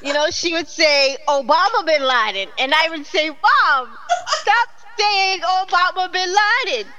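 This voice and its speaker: A middle-aged woman hosting a casual online talk show, animated and exclaiming, laughing often. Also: very high-pitched, measured pace, muffled recording, background noise. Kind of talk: casual live-stream chat.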